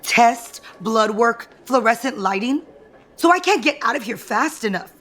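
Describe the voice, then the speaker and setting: An actress playing a proud, bitter, scheming rich wife in an audio soap opera, haughty and cutting. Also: female voice, on the high side, lively intonation, a little slow, fairly bright tone. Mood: curt, irritated.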